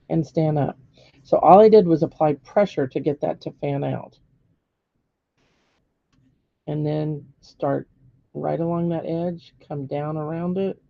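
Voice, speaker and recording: A middle-aged woman with a hoarse voice, giving a live painting lesson step by step and checking in with viewers. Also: low voice, dry recording, dull audio. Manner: patient step-by-step instruction with frequent "okay?" checks. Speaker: painting teacher. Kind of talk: live-streamed painting demonstration.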